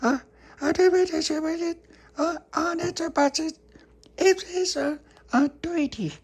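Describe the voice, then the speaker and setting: A woman's voice: very high-pitched, unhurried, a little mellow, voice pushed up. A woman voicing a babbling toddler girl who mispronounces her words. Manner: babyish lisping babble.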